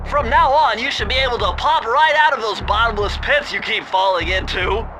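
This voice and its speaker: A man's voice: very high-pitched, normal speed, pushed and bright, low-quality recording. An actor playing an eager, bookish male turtle genius who explains things precisely, over game music. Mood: excited. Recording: game soundtrack music behind.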